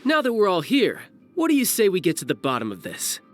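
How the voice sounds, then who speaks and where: A man's voice: high-pitched, huge pitch swings, brisk, faint music behind. A man voicing a cocky, battle-hungry young male warrior in a video game cutscene, breezy and confident.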